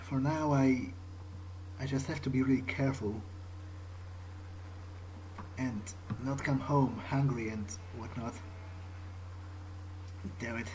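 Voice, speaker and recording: A man role-plays a brooding, guilt-ridden vampire in a video game playthrough. He talks slowly and hesitantly at a medium pitch, stopping to repeat words. Some background noise sits under the voice. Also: fairly even intonation, darkish tone, rough recording. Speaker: let's player role-playing in character. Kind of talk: in-character improvised game monologue. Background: video game ambience.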